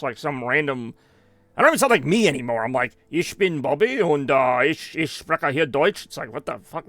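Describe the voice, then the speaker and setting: A man's voice: on the high side, sharp tone, dry recording. A man giving rambling, self-mocking live gameplay commentary as a streamer, now and then dropping in German phrases. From United States. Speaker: gaming streamer.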